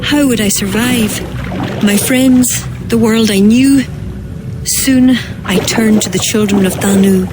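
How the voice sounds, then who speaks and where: A woman playing an earnest, sorrowful druid in a video game cutscene, speaking in measured, formal phrases. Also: mid-range voice, over music, close to an everyday voice. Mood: regretful, confessional. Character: earnest, sorrowful female druid, former cult member. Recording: game ambience noise behind voice.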